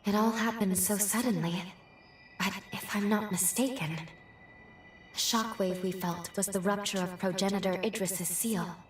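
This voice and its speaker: An actress playing a solemn, gentle mystic in a video game, speaking gravely and earnestly in formal words. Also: female voice, mid-range voice, fairly even intonation, moderate pace, natural voice. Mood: grave, foreboding. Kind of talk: dramatic video game acting. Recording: echoey, distant, music underneath.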